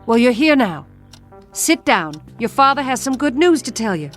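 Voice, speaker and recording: A woman's voice: on the high side, sing-song, normal speed, faint music behind. A woman playing a cold, embittered mother in a classic television drama, speaking in a curt, scolding manner. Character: cold, stern, embittered mother of two children.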